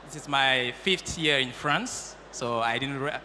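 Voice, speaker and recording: A man from Cameroon talks about his startup on a panel in a bright, forceful voice. He is hesitant and restarts often. Faint noise sits behind him. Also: mid-range voice, unhurried. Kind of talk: panel discussion.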